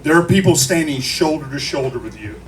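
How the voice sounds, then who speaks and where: A man delivering an informal, emotional eulogy to a gathering in halting, broken phrases. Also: fairly high pitch, animated. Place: memorial gathering in a bar. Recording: distant mic, faint venue noise.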